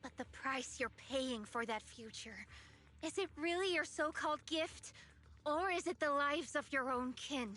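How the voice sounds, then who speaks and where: A woman's voice: high-pitched, unhurried, bright, forceful tone. An actress playing a principled young video game heroine who speaks with earnest, resolute defiance to protect her people. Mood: earnest, challenging. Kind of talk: dramatic video game voice acting.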